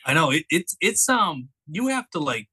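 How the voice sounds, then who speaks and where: A man's voice: fairly high pitch, very animated, moderate pace, bright, forceful tone, husky. A man with a Boston accent, a guest author chatting casually in an easygoing, wry way. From Boston area. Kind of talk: casual interview chat.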